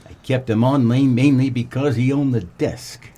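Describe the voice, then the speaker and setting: An American man playing a world-weary, wisecracking 1940s private eye in a comedic noir radio play, with deadpan melodrama. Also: medium pitch, normal speed, close to an everyday voice. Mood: brisk, deadpan. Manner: deadpan, melodramatic tough-guy delivery.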